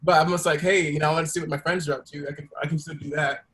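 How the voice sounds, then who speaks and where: A man's voice: fairly high pitch, steady tone, racing, fairly bright tone. A man performing on a podcast, chatting casually in a hesitant, self-deprecating joking way. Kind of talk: casual chat.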